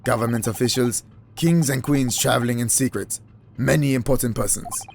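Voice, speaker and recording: A man's voice: mid-range voice, normal speed, gravelly, slight background noise, voice pushed down, natural voice. A man voicing a huge, blunt male sailor guard with a put-on Russian accent and clipped, broken English. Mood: stern.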